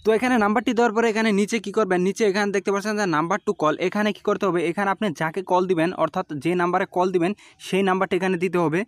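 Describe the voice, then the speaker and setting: A man's voice: high-pitched, fairly even intonation, rapid-fire, no room sound. A man narrating an app tutorial as host, explaining step by step in an instructional, repetitive way.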